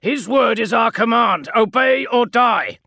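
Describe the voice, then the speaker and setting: A man's voice: extremely high pitch, lively intonation, a little slow, vocal fry, pushed and bright, dry recording, clean studio sound, voice pushed up, full character voice. A man voicing a grim armoured space marine sergeant with a grating, powerful voice that barks curt orders. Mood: commanding.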